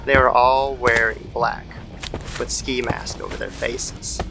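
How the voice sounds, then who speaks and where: A man's voice: mid-range voice, little pitch movement, takes it slowly, background noise. A man narrating a scripted horror story as an old-time radio style storyteller.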